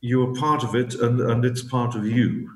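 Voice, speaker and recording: A man's voice: medium pitch, fairly even intonation, normal speed, a little mellow, reverberant. A man being interviewed as an author. He talks reflectively, often repeating words as he searches for the right phrase. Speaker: author guest.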